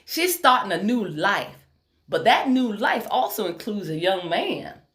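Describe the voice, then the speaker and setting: A proud, exacting Southern woman surgeon played by a female audiobook narrator speaks in a Tennessee accent. She is emphatic and folksy, giving orders with her pitch lifted well above plain narration. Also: sing-song, unhurried, a bit bright. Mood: confiding.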